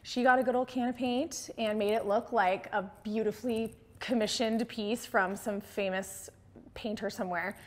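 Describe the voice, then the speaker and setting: A woman's voice: fairly high pitch, steady tone, moderate pace. An American woman in her thirties giving a casual, enthusiastic home tour as an interior designer, speaking conversationally into a lapel mic. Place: large open-plan house interior with hard floors. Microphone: clip-on lapel mic.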